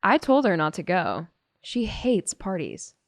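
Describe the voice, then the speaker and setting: A woman's voice: fairly low pitch, huge pitch swings, unhurried, no echo, studio quality. A young American woman playing a blunt, sarcastic teenage loner in a naturalistic audio drama. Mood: blunt, troubled. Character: blunt, sardonic teenage loner girl, guardedly loyal.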